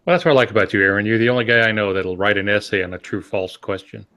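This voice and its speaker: A man's voice: fairly low pitch, rapid-fire, pushed and bright. A man co-hosting a podcast in a conversational style, rambling a little as he builds his points through examples. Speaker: podcast co-host.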